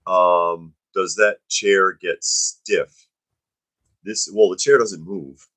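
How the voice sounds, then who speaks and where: Hoarse, very deep-voiced man. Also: steady tone, very slow, a bit bright. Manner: wry, joking asides. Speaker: co-host of a toy livestream. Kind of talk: casual livestream chat. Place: home toy museum room. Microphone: camera-mounted mic.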